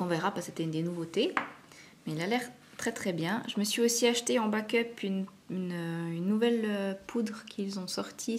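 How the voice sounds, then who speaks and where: A chatty woman with a Swiss French accent talks through her beauty haul at an even middle pitch, leaving in plenty of fillers and slipping into soft whispers now and then. A clear hiss and hum sit behind her voice in a home recording. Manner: chatty, many fillers, drops to whispers while swatching. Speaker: beauty vlogger. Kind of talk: casual haul chat.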